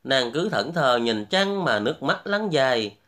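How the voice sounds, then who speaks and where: A man's voice: medium pitch, very animated, measured pace, a bit bright. A man hosting and narrating a fairy tale from a script as a storyteller. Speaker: storyteller, host.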